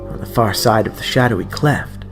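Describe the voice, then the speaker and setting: A man's voice: fairly low pitch, a little fast. A man narrating an audiobook story in a dramatic, scripted reading style. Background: background music.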